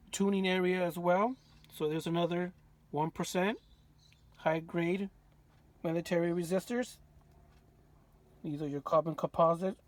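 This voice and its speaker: Higher-voiced man giving a casual repair walkthrough. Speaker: vintage radio restorer, presenter.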